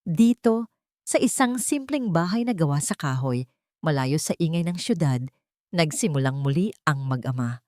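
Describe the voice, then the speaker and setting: A woman's voice: deep voice, lively intonation, moderate pace, warm tone, dry recording, studio quality. A woman giving an earnest, scripted dramatic narration as a sentimental storyteller. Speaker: storyteller and channel host.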